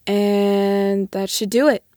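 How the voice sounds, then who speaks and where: An actress playing a practical, kindly doctor in an audio drama. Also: female voice, medium pitch, flat delivery, slow, mellow, no echo, studio quality, natural voice. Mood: matter-of-fact.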